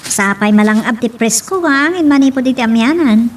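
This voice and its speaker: A woman acting a lively young female friend who teases a farmer, expressively, in an Ilocano audio drama. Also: a little high, fairly quick, close to an everyday voice. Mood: admiring. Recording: distant mic with background noise.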